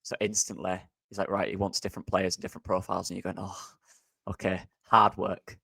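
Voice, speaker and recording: A man's voice: deep voice, level tone, brisk, creaky, sharp tone, slightly rough, no room sound. A man with a Yorkshire accent, co-hosting a casual football podcast, talking with enthusiasm. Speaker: podcast co-host.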